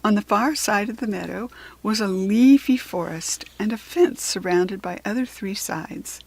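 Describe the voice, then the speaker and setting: An American woman reading a children's book aloud in an expressive, instructive storytelling style. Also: mid-range voice, measured pace, gravelly.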